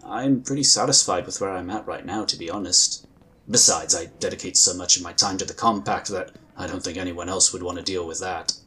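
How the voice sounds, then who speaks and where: An actor playing a calm, courteous psionic inquisitor in a sci-fi audio drama, with measured, formal phrasing. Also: male voice, low voice, a little hiss or hum. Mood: friendly, wry.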